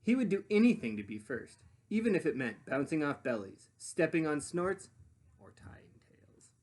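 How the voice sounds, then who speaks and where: A man reads a children's story aloud like a teacher, swinging widely in pitch. His voice is a little husky, and he pauses to muse and prompt his listeners. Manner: animated, pausing to muse and prompt. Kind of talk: expressive children's story narration with questions to listeners.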